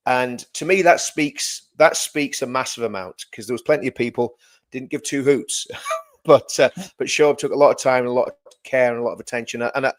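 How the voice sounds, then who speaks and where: A man hosting a live-stream chat. He talks in a warm, chatty way and drops in self-deprecating asides. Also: medium pitch, a little fast.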